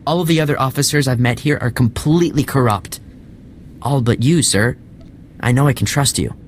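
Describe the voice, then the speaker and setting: An actor playing an earnest, anxious junior soldier in a video game, speaking with deferential urgency. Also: male voice, medium pitch, normal speed, slight background noise, close to an everyday voice. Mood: pleading.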